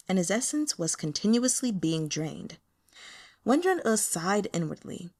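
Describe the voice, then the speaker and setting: A woman reading a fantasy novel aloud as scripted audiobook narration. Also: lowish voice. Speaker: audiobook reader.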